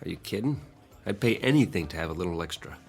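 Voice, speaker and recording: A man's voice: deep voice, animated, fast, creaky, darkish tone. A man playing a harried, apologetic boyfriend in a radio drama, with a nervy, hesitant, pleading manner. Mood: weary, rueful. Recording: music behind the voice.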